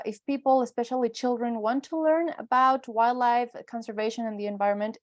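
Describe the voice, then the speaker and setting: A woman's voice: a little high, darkish tone. A woman with a Mexican accent giving an informal presentation in a conversational, explanatory way. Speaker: guest speaker, wildlife photographer and educator.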